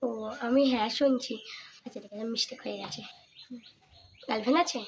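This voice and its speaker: A woman's voice: fairly high pitch, fairly quick. A young woman with a West Bengali accent chatting casually on a prank call in a coy, teasing, persistent way. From India, West Bengal. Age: young adult. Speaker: vlogger making a prank call. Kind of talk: casual phone chat. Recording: noisy amateur video recording.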